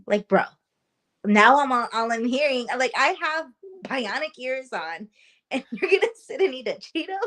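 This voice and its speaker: Chatty woman guest, quick to laugh. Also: high-pitched, expressive, fairly bright tone. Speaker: paranormal investigator guest. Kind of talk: casual interview chat.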